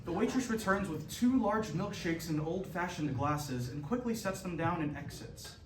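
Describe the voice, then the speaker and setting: Male stage-directions reader, high voice, scripted narration, recorded at a distance with room echo. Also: lively intonation, moderate pace. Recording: echoey room, distant, faint noise.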